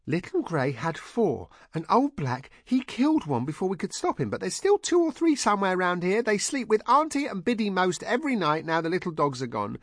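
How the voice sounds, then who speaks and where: A very high, shrill country woman, played by a male narrator, simple and childlike in her excitement. She exclaims in rustic phrases with big swoops of pitch, as a storybook character. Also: a little fast, hardly any pauses, no echo, clean studio sound, heavily acted. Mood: eager chattering. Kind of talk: audiobook character voice.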